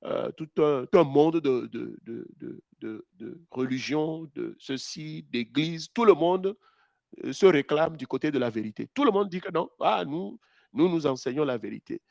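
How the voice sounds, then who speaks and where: A man's voice: fairly high pitch, lively intonation, moderate pace, creaky, darkish tone, no echo. A man, a pastor, giving a teaching sermon, addressing listeners directly, with many hesitations and repeated words.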